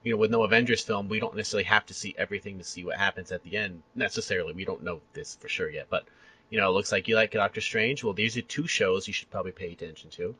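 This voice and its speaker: Raspy, bright-voiced man chatting as podcast host. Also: on the low side, quick pace, no echo, rough recording. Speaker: podcast interviewer. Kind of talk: casual fan chat about entertainment.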